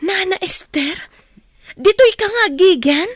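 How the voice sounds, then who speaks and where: A woman's voice: very high voice, lively intonation, takes it slowly, no echo, studio quality, full character voice. An actress playing a polite, naive young country woman in an Ilocano radio drama. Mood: curious.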